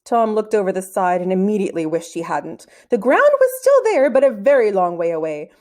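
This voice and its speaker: Animated woman reading a story aloud. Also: mid-range voice, brisk. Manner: animated, acting out the dialogue. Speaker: reader of a children's book. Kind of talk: expressive read-aloud storytelling.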